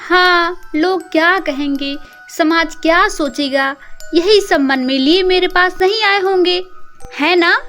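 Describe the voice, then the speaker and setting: A woman's voice: extremely high pitch, slow, a bit bright, soft background music, voice pushed up, full character voice. A woman playing a sweet-voiced former sweetheart in an audio story, with a teasing, questioning manner and wounded feeling underneath. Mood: probing. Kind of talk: acted audio story dialogue.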